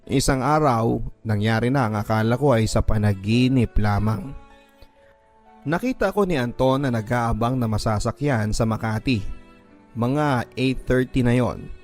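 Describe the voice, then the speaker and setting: A man's voice: medium pitch, unhurried, a little mellow. A man narrating a first-person letter as a dramatic radio storyteller. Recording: music bed behind voice. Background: background music.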